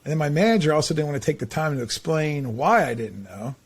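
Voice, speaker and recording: A man in his forties hosting a podcast, with a voice a bit higher than most men's. He chats casually and keeps checking in with his guest through filler tags. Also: sing-song, fast talker, breathy edge. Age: 40s. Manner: chatty, leans on "right?" and "like". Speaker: podcast host. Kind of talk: casual interview chat.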